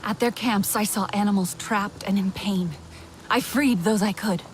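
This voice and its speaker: A woman's voice: mid-range voice, fairly even intonation, moderate pace, natural voice. Earnest young woman warrior played by an actress, faint noise. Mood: grim, earnest. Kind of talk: video game voice acting.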